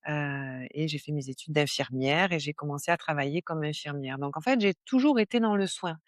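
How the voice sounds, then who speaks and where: A woman's voice: deep voice, a little fast, dry recording, clean studio sound. A middle-aged woman from southern France with a southern French accent, a guest chatting in an interview with many fillers and restarts. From southern France (Var). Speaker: guest, stress management coach. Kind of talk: casual interview conversation.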